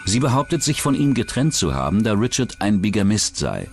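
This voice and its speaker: Male narrator, scripted true-crime documentary, lowish voice, lively melody, soft music underneath. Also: brisk.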